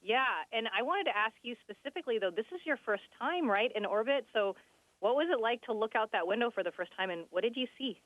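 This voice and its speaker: A woman's voice: a little high, animated, fast talker, no echo. Woman interviewer on a tinny phone-like line. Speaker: science podcast host. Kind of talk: casual conversational interviewing. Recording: narrow, tinny phone-like radio link.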